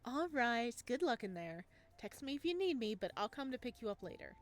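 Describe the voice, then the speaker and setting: A woman's voice: fairly high pitch, big pitch swings, brisk. Teasing, bossy big-sister type, read by a woman. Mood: affectionate. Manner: playful, bossy. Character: teasing, motherly young woman, a protective sister. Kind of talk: visual novel character read-aloud.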